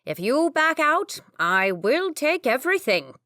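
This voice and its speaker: A woman's voice: sits high, sing-song, measured pace, bright, forceful tone, dry recording, studio quality, voice pushed up, exaggerated voice. A woman voicing a greedy, smug older nobleman who threatens with amusement, in a storybook reading.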